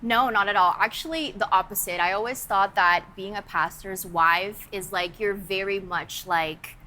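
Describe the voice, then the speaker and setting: Bright-voiced woman from the Netherlands. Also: mid-range voice, moderate pace. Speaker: guest. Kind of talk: casual chat.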